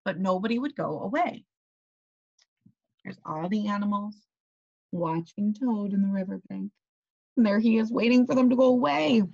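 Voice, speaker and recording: A young woman, a teacher reading a children's story aloud in an animated way and asking her listeners questions. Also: medium pitch, moderate pace, gravelly, pauses often. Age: young adult. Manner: animated, expressive. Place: small bedroom, indoors. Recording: slightly dull webcam audio.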